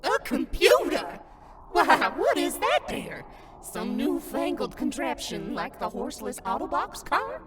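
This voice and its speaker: High, swooping grandmotherly ghost. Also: wildly swooping pitch, unhurried, a crowd in the background, exaggerated voice. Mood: teasing. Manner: theatrical, doting, teasing, with grand storybook phrasing. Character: playful grandmotherly ghost sprite in clown garb, mysterious guide. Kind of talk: fandub character acting.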